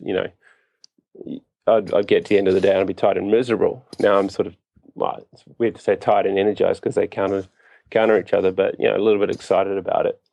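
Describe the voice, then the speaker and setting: A man in his thirties with a New Zealand accent, answering interview questions in a halting, reflective, self-deprecating way. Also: low voice, gravelly, darkish tone, no echo. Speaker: interview guest, business co-founder.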